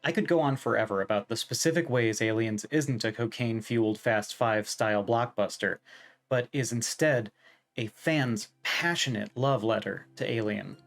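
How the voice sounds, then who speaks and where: A man narrating a scripted video essay in an emphatic, wry way, leaning on deliberate repetition. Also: medium pitch, creaky, soft background music.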